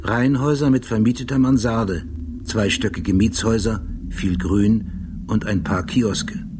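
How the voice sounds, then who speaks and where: An actor playing an obsessive, brooding police detective in a radio drama monologue, speaking in a hushed, deliberate way. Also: male voice, lowish voice, fairly even intonation, noisy background, natural voice. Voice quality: gravelly. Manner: hushed, deliberate, often near whisper. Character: obsessive, brooding male police detective recalling details precisely. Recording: loud music under the voice.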